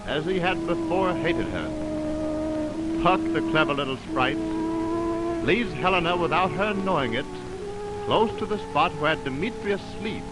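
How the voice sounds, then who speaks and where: A man's voice: high-pitched, big pitch swings, a little slow, low-quality recording. A man giving scripted narration for a 1920s radio drama, in an old broadcast recording. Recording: 1929 radio aircheck from optical film. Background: loud music.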